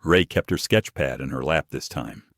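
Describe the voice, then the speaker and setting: A man's voice: extremely low pitch, normal speed, a bit bright, no echo, studio quality. A man reading a scripted dramatic story as its narrator.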